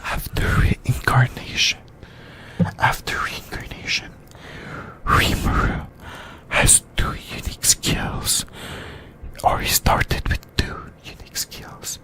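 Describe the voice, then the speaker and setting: A host whispering an ASMR discussion in a breathy, unvoiced voice, speaking in halting short phrases and repeating words. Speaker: host of an anime discussion channel.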